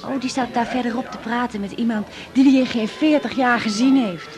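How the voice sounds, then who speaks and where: A woman's voice: fairly high pitch, steady tone, fairly quick, over music, close to an everyday voice. An actress playing a fond, slightly fussy middle-aged mother of the bride in a radio drama, with a Netherlands Dutch accent. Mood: chatty, fussing.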